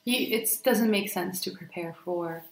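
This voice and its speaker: A woman in her late twenties with a slightly low voice chats in a roomy, echoing kitchen. She answers casually and plainly, in a matter-of-fact manner. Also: measured pace. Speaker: partner being interviewed. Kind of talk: casual home conversation. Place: kitchen, at the table during a meal. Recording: roomy echoing home recording.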